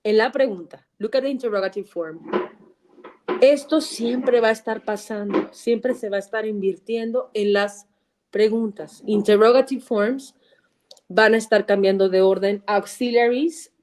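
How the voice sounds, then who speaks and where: A woman's voice: fairly high pitch, normal speed, darkish tone, a little hiss or hum, poor audio. Upbeat woman teaching English. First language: Spanish. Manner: upbeat, repeats phrases and praises students by name. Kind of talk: live online class, mixing Spanish and English.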